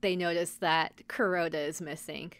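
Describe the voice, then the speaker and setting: A woman co-hosting a podcast, casually retelling a story in an animated way with mock dialogue and giggles. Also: fairly low pitch, normal speed, clear, resonant tone, no echo. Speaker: podcast host and episode recapper. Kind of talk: casual chat, recapping an anime episode.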